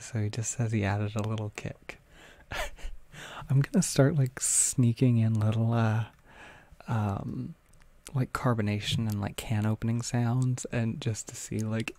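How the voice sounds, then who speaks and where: A young adult with an American accent chats casually on a livestream, reading and answering comments in a soft-spoken, hesitant, rambling way. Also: medium pitch, gravelly, no room sound. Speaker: ASMR audio creator livestreaming.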